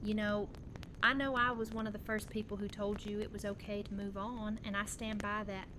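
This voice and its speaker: A woman plays a young widowed mother in an audio drama. She is earnest and resolute, with a tender, conversational delivery at a middling pitch. Noticeable ambient noise sits behind the voice. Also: level tone, normal speed, close to an everyday voice. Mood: wistful. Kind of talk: fan audio drama dialogue. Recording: clear background noise and ambience.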